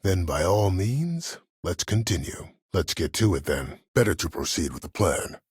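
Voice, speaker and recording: An actor playing a cold, calculating older military commander in a video game, speaking in a measured, formal, menacing and deliberate way. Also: male voice, sits low, sing-song, a little mellow, breathy edge, no echo, clean studio sound, full character voice. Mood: composed, persuasive. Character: cold, calculating older male imprisoned war commander, imperious schemer. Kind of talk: video game character dialogue.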